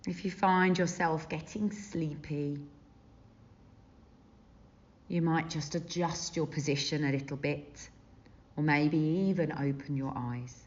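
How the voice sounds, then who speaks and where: A woman leading a guided meditation as a teacher, gentle and soothing, with unhurried phrases and long pauses. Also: deep voice, fairly even intonation. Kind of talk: guided body scan meditation. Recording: dull, muffled lo-fi sound.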